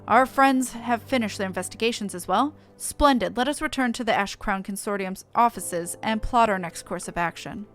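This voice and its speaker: A woman's voice: mid-range voice, fairly bright tone, faint music behind, lightly acted. Woman reading as a grave, eloquent fantasy investigator. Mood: ominous. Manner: formal, measured, dramatic delivery.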